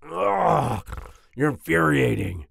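A man playing a big, grumpy werewolf boyfriend with a growling, husky voice and a soft side, in an ASMR roleplay. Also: a little high, big pitch swings, no room sound, studio quality, heavily acted. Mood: defensive, flustered. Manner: grumbling, slow, with grunts and growls.

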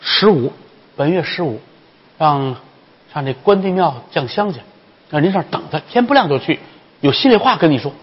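A man voicing an excitable young go-between, urgent and repetitive, in comic storytelling. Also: a little high, moderate pace, pauses often, slightly rough, big-room sound, lo-fi sound, voice pushed up. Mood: hushed, confiding.

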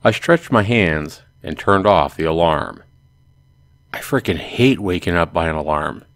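A man's voice: low-pitched, animated, a little slow, fairly bright tone, dry recording. A man hosting a let's play, casually commenting and reading a visual novel aloud. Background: music.

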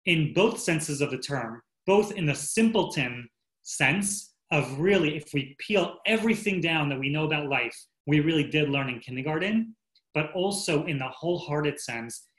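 A man's voice: on the high side, fairly bright tone, roomy sound, rough recording. A man addressing parents on a video call in a heartfelt, pleading way as school leader, repeating his key words. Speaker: school head of school.

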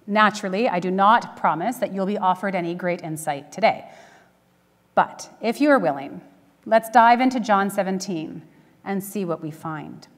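Wry woman preacher in a reverberant church. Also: medium pitch. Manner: wry, dryly humorous, with deliberate pauses. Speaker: minister preaching. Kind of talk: conversational sermon. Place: church sanctuary at a pulpit. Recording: echoey church hall, long reverb.

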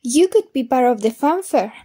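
An earnest woman of the funfair acted by a woman in an audio drama. Her voice is rather high yet mellow and dark-edged, with gentle, careful delivery. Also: expressive, normal speed, husky. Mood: hopeful.

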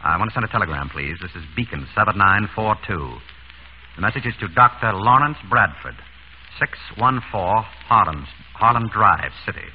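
An actor playing an urbane, wry surgeon turned amateur sleuth in an old-time radio drama. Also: male voice, on the low side, lively intonation, normal speed, close to an everyday voice. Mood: businesslike. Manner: urbane, wry, composed under threat. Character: suave, quick-witted male surgeon turned amateur sleuth. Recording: tinny, noisy vintage radio recording.

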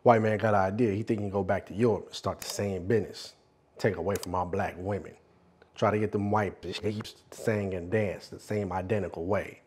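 A man playing a brash, bullying older strip club boss in an acted film monologue, streetwise and repetitive, with drawn-out emphasis. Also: deep voice, steady tone, darkish tone, a little hiss or hum, voice pushed down, close to an everyday voice. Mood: sly storytelling.

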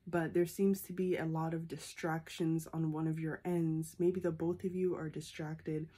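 A woman's voice: deep voice, level tone, normal speed, dark tone, breathy edge. A young woman with a stuffy, congested voice from a sinus infection, reading tarot into a phone camera in a small room, ending most points with "okay?". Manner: repeats a questioning "okay?" after nearly every point. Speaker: tarot reader. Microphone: phone camera at arm's length.

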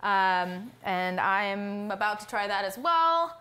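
A woman's voice: medium pitch, takes it slowly, clean tone. A woman in her thirties from South Florida hosting a casual cooking stream, musing aloud with lots of ums as she cooks and tastes food in her home kitchen. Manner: relaxed, thinking aloud with many ums while cooking and tasting. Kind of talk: casual cooking livestream chat.

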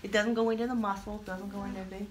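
A middle-aged woman, a farmer, explaining animal care and cooing softly to the animals, recorded by a phone a few feet away in a barn. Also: medium pitch, little pitch movement, very fast, background noise. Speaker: alpaca farmer. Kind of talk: casual explanation. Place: cold wooden barn indoors. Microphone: phone camera a few feet away.

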